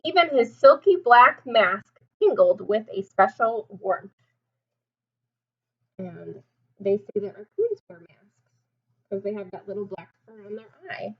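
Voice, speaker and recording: A woman's voice: medium pitch, animated, a little slow, pauses often, dull audio. A woman teacher reading a storybook aloud to young children, expressively, with simple explanations and questions.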